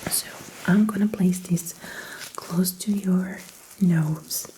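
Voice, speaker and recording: A woman whispering an ASMR medical roleplay as a doctor, gently and attentively giving instructions. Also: fairly low pitch, steady tone, takes it slowly, slight background noise, poor audio.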